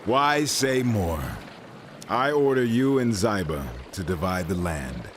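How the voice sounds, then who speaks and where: A man's voice: fairly low pitch, lively intonation, slow, a little hiss or hum. An actor playing a firm yet merciful king, speaking with declamatory authority in a dramatized audio Bible. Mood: firm, gracious.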